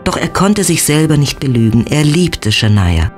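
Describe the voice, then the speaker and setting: An actor playing the storyteller, reading scripted narration in a fantasy audio drama. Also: male voice, fairly high pitch, big pitch swings, moderate pace, soft background music. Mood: wistful, wry. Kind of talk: scripted third-person narration.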